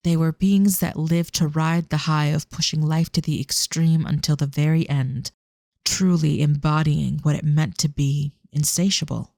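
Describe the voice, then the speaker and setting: A man's voice: fairly high pitch, fairly even intonation, normal speed, creaky, a little mellow, no room sound, studio quality. A man reading scripted narration for an audio drama as its storyteller.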